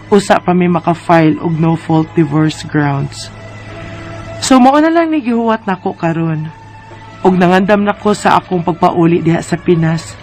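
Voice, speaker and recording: A woman's voice: fairly low pitch, a little mellow, lightly acted. A woman with a Filipino Visayan accent acting a weary, homesick wife in an earnest radio drama. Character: weary, homesick Filipina wife divorcing her American husband.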